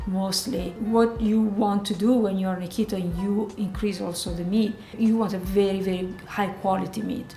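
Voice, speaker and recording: An older woman with a lilt talks casually and animatedly, sprinkling in "you know." Her mid-pitched voice rings in an echoey room, with faint music behind. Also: steady tone, normal speed, few pauses, dark tone. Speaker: co-host. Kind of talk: casual chat.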